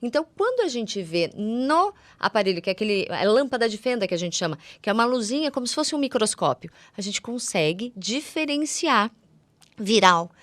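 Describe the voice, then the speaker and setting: A woman's voice: a little high, sing-song, a little fast, no room sound. Brazilian woman explaining, dry close sound. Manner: didactic, repeats words for emphasis; uses affectionate diminutives. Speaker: guest ophthalmologist. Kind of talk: conversational medical explanation for lay audience.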